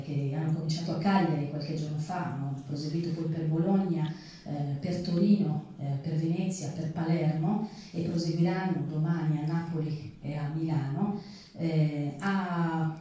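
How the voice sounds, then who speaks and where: A low, mellow-voiced woman speaks as host of a stage event. She is heard from a distance in a very echoey theatre. Her phrasing is measured and articulate. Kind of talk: spoken introduction and thanks to an audience.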